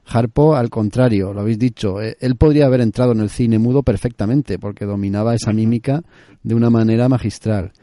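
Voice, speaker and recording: A man hosting a conversational film podcast, articulate, laying out long questions in a measured way. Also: lowish voice, dark tone, no echo, clean studio sound.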